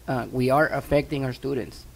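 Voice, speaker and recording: A man on a school board speaks earnestly in a meeting, urging and filling pauses with "um" and "uh". His voice is gravelly and his pitch stays flat, at a middle level. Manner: earnest, urging, with frequent fillers.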